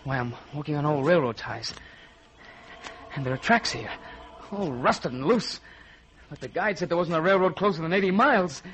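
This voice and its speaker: A man as a high-voiced, jittery American fugitive. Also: normal speed, fairly bright tone, close to an everyday voice. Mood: nervous muttering. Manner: jittery, overwrought. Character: nervous, guilt-ridden American man on the run. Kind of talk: old-time radio horror acting. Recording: noisy vintage radio recording.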